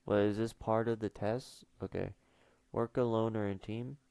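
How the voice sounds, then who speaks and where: A man's voice: fairly low pitch, fairly even intonation, normal speed, creaky, dark tone, clean tone, dry recording. A teenage boy streaming casually, mumbling and trailing off with fillers as he reads questions aloud. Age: teens. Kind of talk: casual livestream chat.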